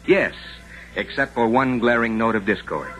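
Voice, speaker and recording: A man narrating a 1950s radio drama in a formal, measured, dramatic manner. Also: mid-range voice, poor audio. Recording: 1950s radio recording with music. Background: music.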